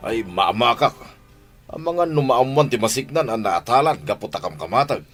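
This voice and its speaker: A man's voice: medium pitch, lively intonation, normal speed, a bit bright, faint music behind, natural voice. A man acting a fearful, reluctant household servant in a radio drama, sounding worried.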